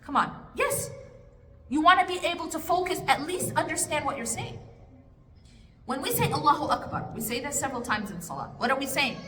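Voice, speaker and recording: A woman's voice: high-pitched, big pitch swings, brisk, sharp tone. A woman answering an audience question at a religious study circle, talking casually and coaxing her listeners with rhetorical questions. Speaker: speaker at an Islamic study circle. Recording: echoey hall with faint noise.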